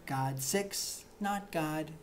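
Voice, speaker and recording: A middle-aged man reading satirical excerpts to camera in a deadpan, mock-earnest way, in a plain indoor room. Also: on the high side, drawn-out words, a little hiss or hum. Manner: mock-earnest, punchy, with gestures. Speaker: reader of satirical book excerpts.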